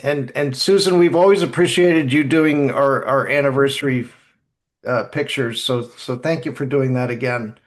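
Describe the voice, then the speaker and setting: A man hosting a casual group podcast chat, talking in a halting way and often repeating his words. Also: medium pitch. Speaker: podcast host.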